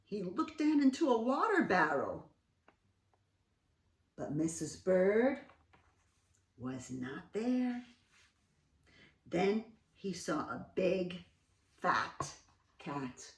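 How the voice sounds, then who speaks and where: A woman reading aloud to young children as host, expressive and dramatically emphatic, pausing to ask questions. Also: on the low side. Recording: roomy echo, distant mic.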